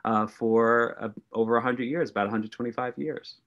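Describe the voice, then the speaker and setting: Man, middle-aged, from the united states, deliberate, thoughtful, mid-pitched voice. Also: fairly even intonation, fairly bright tone, muffled recording. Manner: measured, deliberate, restarts phrases. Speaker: guest historian. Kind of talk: thoughtful interview answers.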